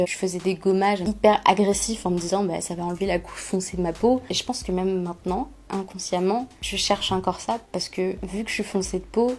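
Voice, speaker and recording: A young woman giving a personal testimony in an interview, speaking softly and hesitantly with many pauses and fillers. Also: mid-range voice.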